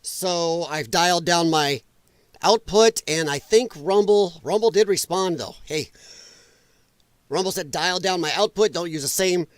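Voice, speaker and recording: Male stream host, high voice, dry room sound; slightly rough, rambling, self-mocking banter with the chat. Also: unhurried, no room sound. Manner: rambling, self-deprecating, chatting to viewers in the chat. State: rough voice, occasional coughing. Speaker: livestream host. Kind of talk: casual late-night livestream chat. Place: home room at night, animals nearby.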